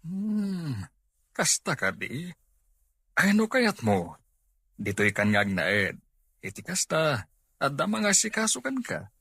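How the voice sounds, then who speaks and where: A man's voice: a little high, big pitch swings, slow pace, pauses often, pushed and bright, breathy edge, dry recording, studio quality, heavily acted. A man with an Ilocano accent acting a kind, well-off farmhouse owner in a radio drama, earnest and caring.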